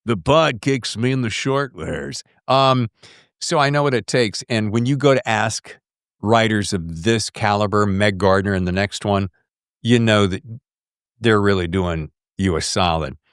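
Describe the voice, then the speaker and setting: A man's voice: lowish voice, unhurried, clean tone, dry recording, studio quality. A middle-aged American man hosting a podcast in a casual, slangy, effusive way. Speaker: podcast host. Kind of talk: casual podcast interview chat.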